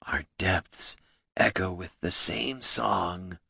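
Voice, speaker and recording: Man voicing a slow, wistful, lonely troll. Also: on the low side, big pitch swings, gravelly, sharp tone, breathy edge, dry recording, voice pushed up, exaggerated voice. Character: wistful, lonely male troll longing for friendship.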